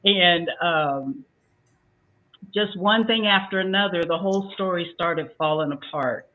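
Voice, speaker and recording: An older woman on a talk show panel giving casual advice and acting out both sides of a conversation as she tells a story. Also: low voice. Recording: tinny phone-like sound.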